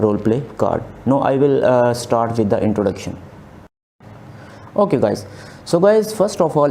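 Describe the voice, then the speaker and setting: A man's voice: medium pitch, warm tone, slight background noise. A man in his thirties teaching exam speaking strategies over slides, repeating key points with frequent fillers. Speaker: OET exam tutor. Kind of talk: slide lecture. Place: small indoor room, webcam inset.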